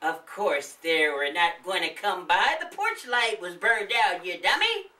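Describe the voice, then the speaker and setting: A woman's voice: lowish voice, very animated, a little slow, sharp tone, voice pushed up, full character voice. A woman voicing an old wife in a children's story, scolding and motherly by turns, recorded roomily at a distance. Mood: bossy scolding. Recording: roomy, distant microphone.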